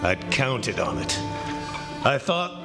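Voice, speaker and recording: A man's voice: mid-range voice, very animated, takes it slowly, creaky, full character voice. A man playing a proud, stubborn, aging plantation owner in 1940s-style radio drama, speaking with confident, declamatory assurance. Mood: self-assured. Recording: live stage show with music.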